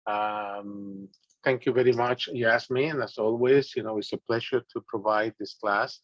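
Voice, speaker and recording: A man's voice: mid-range voice, measured pace, vocal fry, no echo. Dominican accented guy teaching a class, patient, lots of "you know", kinda dull dry sound. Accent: Dominican Spanish. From Dominican Republic. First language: Spanish. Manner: patient, repeats himself, fillers like "you know" and "okay". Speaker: instructor. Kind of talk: business training class.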